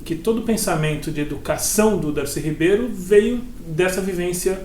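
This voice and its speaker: Halting interviewer in an echoey room. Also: male voice, high voice, darkish tone. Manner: halting, searching questions with fillers. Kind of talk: interview questions. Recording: echoey room with faint noise.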